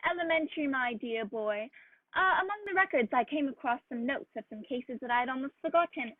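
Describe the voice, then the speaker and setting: A woman playing a genial, avuncular old gentleman doctor who tells stories in a radio play. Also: high voice, animated, fairly quick, no room sound. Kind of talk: radio play acting and narration. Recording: dull lo-fi dry audio, faint music.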